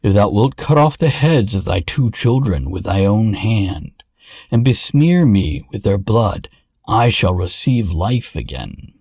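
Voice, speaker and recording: A man's voice: fairly low pitch, sing-song, measured pace, darkish tone, slightly rough, no echo, clean studio sound, exaggerated voice. A man voicing an enchanted stone statue in a fairy tale, grave, solemn and archaic in speech.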